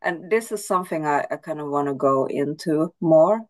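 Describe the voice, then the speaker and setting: A woman's voice: sits low, moderate pace. A Swedish-speaking woman astrologer, answering interview questions thoughtfully with frequent restarts. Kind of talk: casual interview.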